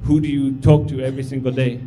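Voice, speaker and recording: A man's voice: mid-range voice, little pitch movement, fast talker, warm tone, faint music behind. A man in his thirties who grew up in Tanzania, giving an informal, humble sermon as a visiting missionary, encouraging and full of fillers. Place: church sanctuary.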